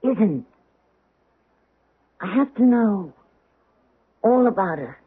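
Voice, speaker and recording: A woman's voice: mid-range voice, animated, slow, husky, phone-quality sound. A woman playing an anguished, devoted middle-aged stage actress in a theatrical, intense 1970s radio drama style. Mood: anguished, searching.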